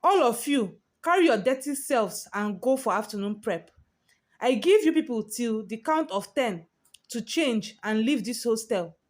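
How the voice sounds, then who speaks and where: A woman's voice: on the high side, lively intonation, unhurried. A woman voicing a bullying teenage senior prefect, domineering and sly, in a story told aloud. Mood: scornful, commanding. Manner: barking, commanding, turning sickly sweet.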